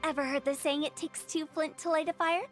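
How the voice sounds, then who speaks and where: A playful, cocky young woman from a video game, talks in a very high voice. She is theatrical and teasing, showy with every line, over music. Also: steady tone, a little fast, fairly bright tone. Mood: confident, explaining. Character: playful, theatrical, cocky young female funeral director and poet.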